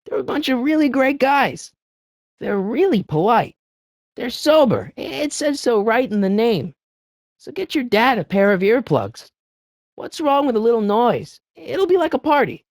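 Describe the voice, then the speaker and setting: Animated, high-pitched teenage boy hero. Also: dry recording, clean studio sound, exaggerated voice. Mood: pleading. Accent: American. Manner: hesitant, stammering, eager, fast-talking persuader. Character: earnest, anxious teenage boy hero of a time-travel adventure. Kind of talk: video game character dialogue.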